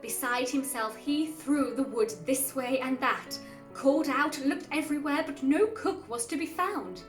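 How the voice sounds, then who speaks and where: A woman with a high voice narrates in a measured storybook style. She sounds set back from the microphone in an echoing room. Her delivery is deliberate, that of a host reading a scripted tale. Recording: roomy, distant mic with music underneath. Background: classical music.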